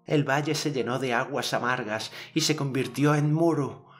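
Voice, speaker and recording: A man voicing a confident, generous boy hero telling tales in an audiobook, in a measured manner. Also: mid-range voice, faint music behind, voice pushed up, close to an everyday voice. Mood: solemn storytelling. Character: generous, self-assured boy storyteller hero, inventing tales. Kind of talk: audiobook character narration.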